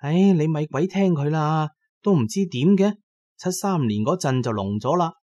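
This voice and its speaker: A man voicing a plain-spoken village woman in Cantonese, with homely, drawn-out exclamations. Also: mid-range voice, expressive, a little mellow, no echo, muffled recording, voice pushed up, lightly acted. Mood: exasperated.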